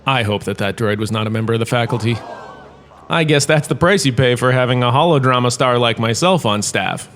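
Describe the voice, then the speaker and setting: An actor playing a vain, washed-up star turned professor, lecturing in a pompous, theatrical, self-satisfied way for a comedic audio drama. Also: male voice, mid-range voice, fairly quick, a bit bright, natural voice. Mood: self-satisfied, enthusiastic.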